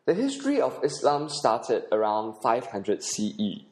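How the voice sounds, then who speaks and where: Animated Singaporean man guiding a tour. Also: medium pitch, unhurried. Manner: earnest, instructive, with occasional uh fillers. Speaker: podcast host and audio tour guide. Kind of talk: scripted audio tour narration.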